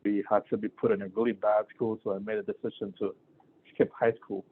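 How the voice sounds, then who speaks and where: A man's voice: lowish voice, level tone, a little fast, slight background noise. A middle-aged man from Ghana, a physician giving a casual talk to students, rambling with many fillers and restarts. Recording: tinny phone-like sound.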